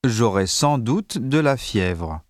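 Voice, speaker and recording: Slow, deliberate man reading a dictation. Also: mid-range voice, sing-song, no room sound, studio quality. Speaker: dictation reader.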